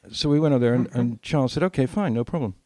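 A man's voice: mid-range voice, fast, mellow, dry recording. An older man telling anecdotes casually as a podcast co-host, acting out bits of dialogue as he reminisces.

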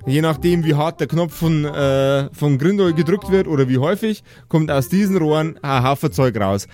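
A man acting as game master, describing scenes off the cuff in a casual, halting way. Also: fairly high pitch, darkish tone, soft background music.

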